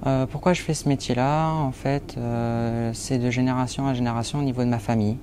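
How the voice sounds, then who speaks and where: A man's voice: medium pitch, fairly even intonation, brisk, warm tone, a little hiss or hum. A nineteen-year-old man, an apprentice baker, describing his training and goals with frequent hesitations. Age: young adult. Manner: hesitant, many fillers. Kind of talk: interview portrait.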